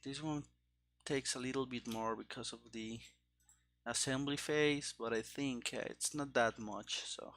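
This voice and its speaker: A man doing live coding commentary as a game developer, halting and muttering to himself in short fragments. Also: mid-range voice, steady tone, a bit bright, a little hiss or hum.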